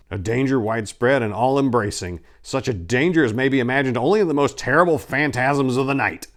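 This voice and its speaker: A man reading a horror tale dramatically as a haunted, dread-filled narrator, theatrical and ominous with drawn-out emphasis. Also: lowish voice.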